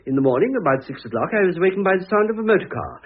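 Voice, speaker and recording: A middle-aged man with a British accent acting in a classic radio drama as a peevish, gossipy gentleman admirer, chatty and blustering in a theatrical way. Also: high-pitched, very animated, racing, breathy edge, heavily acted. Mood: amused, conspiratorial. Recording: muffled, tinny old radio recording.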